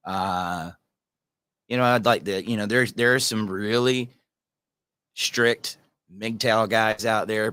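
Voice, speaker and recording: Earnest low-voiced man hosting a livestream. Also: fairly bright tone, no echo. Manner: earnest, rambling, addresses viewers by name. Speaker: livestream host reading viewer comments. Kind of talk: casual livestream talk.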